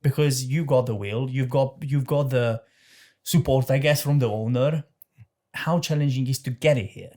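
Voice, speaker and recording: A man's voice: medium pitch, normal speed, warm tone. A man hosting a hospitality podcast, asking long rambling questions with restarts. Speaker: podcast host. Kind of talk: casual interview questions.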